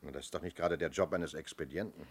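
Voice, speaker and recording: An actor playing a businesslike police inspector in a 1970s German radio crime play, asking clipped, matter-of-fact questions. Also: male voice, a bass voice, fast talker, slightly rough. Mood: probing, calm.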